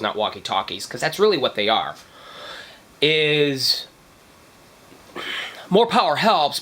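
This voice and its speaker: Higher-pitched man, informal explainer vlog. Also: fairly bright tone. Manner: rambling, checks in with "right?" and "okay?". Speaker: vlogger, radio hobbyist explaining gear. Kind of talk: informal explainer talk to camera.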